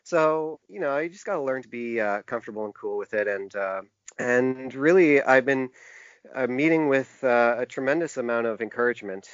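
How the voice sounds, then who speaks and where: Podcast guest: middle-aged man, mid-pitched, hesitant and reflective, conversational, dry close sound. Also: no room sound, lo-fi sound. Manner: hesitant, halting, self-reflective. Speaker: podcast guest, author and small publisher. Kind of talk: casual interview conversation.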